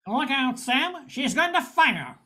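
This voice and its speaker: A woman's voice: sits high, big pitch swings, takes it slowly, background noise, heavily acted. Woman playing a wistful space-noir hero. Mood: hurt, alarmed. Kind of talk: amateur radio play acting and noir narration.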